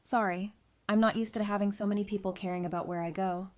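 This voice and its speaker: A woman's voice: mid-range voice, steady tone, fast talker. A woman acting a sincere, caring friend in a fan audio drama. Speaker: voice actor.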